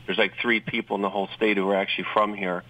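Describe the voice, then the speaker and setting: New York-accented man, bright and distant. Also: on the low side, little pitch movement, quick pace. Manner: chatty, joking asides, frequent restarts. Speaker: panel guest. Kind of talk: conversational talk show remarks.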